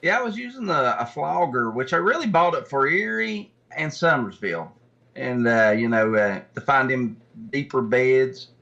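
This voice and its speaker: A man's voice: mid-range voice, big pitch swings, normal speed, vocal fry, fairly bright tone. A man speaking as a guest, an angler explaining things casually in a plain-spoken, informal way, recorded in dull lo-fi sound. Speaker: guest angler, tournament fisherman. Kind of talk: casual chat, recounting and explaining.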